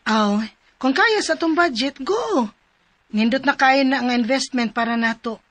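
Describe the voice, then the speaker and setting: A woman's voice: high voice, expressive, a little slow, fairly bright tone, no room sound, heavily acted. An actress with a Cebuano Filipino accent playing a practical, supportive wife and mother in a radio drama, speaking encouragingly.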